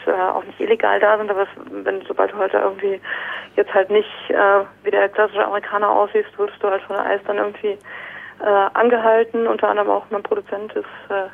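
A woman's voice: mid-range voice, gravelly. A woman singer-songwriter talking as an interview guest, hesitant with many fillers and restarts, heard over a phone line. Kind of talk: casual radio interview by phone.

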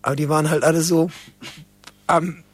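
A man's voice: a little high, flat delivery, moderate pace, husky, dry recording. A man telling anecdotes in a casual interview, often repeating and restarting his words as he goes. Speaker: interview guest, drum and bass musician and event participant.

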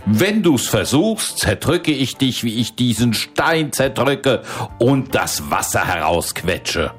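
A man's voice: mid-range voice, big pitch swings, slow pace, soft background music, voice pushed up. A man voicing a fearless, cheeky young shepherd who taunts in a mocking sing-song. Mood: cocky.

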